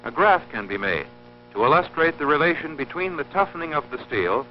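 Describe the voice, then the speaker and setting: Lo-fi film soundtrack with faint hum, male narrator, mid-pitched voice, formal, didactic, measured scripted delivery. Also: very animated. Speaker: narrator of an industrial training film. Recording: dull lo-fi old film soundtrack, humming.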